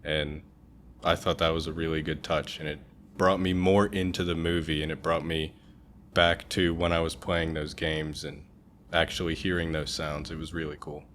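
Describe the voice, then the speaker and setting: A man's voice: rumbling low, little pitch movement, normal speed, fairly bright tone, a little hiss or hum. A man speaking as a guest reviewer in a casual discussion, agreeable and plain-spoken.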